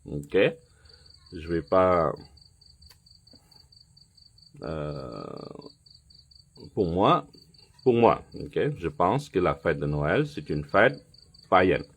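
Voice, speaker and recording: Man, non-native speaker, sermon, very slow delivery, lowish voice, pauses and fillers, keeps asking "ok?". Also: big pitch swings, husky. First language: not French. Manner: slow and deliberate, checks in with "ok?", many pauses and fillers; non-native French with some slips. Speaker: preacher. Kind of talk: sermon and Bible teaching.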